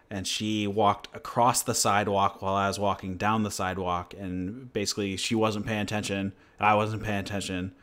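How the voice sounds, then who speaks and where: Low-voiced laid-back man rambling casually. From New Hampshire, USA. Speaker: channel host. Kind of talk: casual livestream chat and Q&A.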